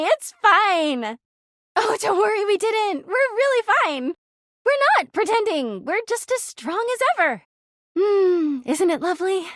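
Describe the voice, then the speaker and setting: A woman's voice: very high-pitched, fairly bright tone, dry recording, studio quality, heavily acted. A woman voicing a clingy, jealous talking laundry hamper in a video game, gushing sweetly and flipping into bitter drama. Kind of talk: video game character voice acting.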